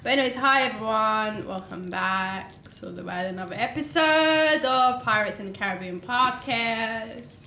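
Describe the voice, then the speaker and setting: A young woman hosting a podcast in casual chat, bubbly and quick to laugh. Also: on the high side, bright, forceful tone. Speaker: podcast host. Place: podcast studio, indoors. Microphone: studio mic on arm. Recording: echoey room, faint hiss.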